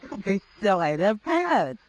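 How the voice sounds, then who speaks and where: A woman's voice: mid-range voice, sing-song, moderate pace, dry recording, natural voice. An actress playing a playful saloon woman who coaxes and teases the marshal, in 1950s radio drama style. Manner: playful, coaxing.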